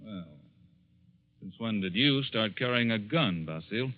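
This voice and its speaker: Scornful, low-voiced 1940s radio man. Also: animated, unhurried. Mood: wary, scornful. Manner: blustering, dismissive, incredulous. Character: indignant, scornful male intended murder victim. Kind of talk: 1940s radio suspense acting. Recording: dull lo-fi 1940s radio broadcast.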